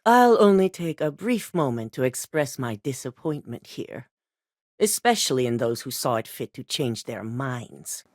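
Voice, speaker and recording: A woman's voice: rumbling low, big pitch swings, measured pace, a little mellow, no room sound, clean studio sound, heavily acted. An actress voicing a cold, exacting female corporate executive in a video game, curt and authoritative. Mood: stern.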